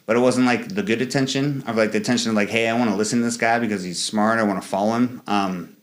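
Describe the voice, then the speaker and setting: A man's voice: fairly even intonation, fast talker. A man in his thirties, a Marine veteran guest in an interview, talking casually with many fillers and restarts and acting out quoted exchanges. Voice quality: fairly high voice for a man.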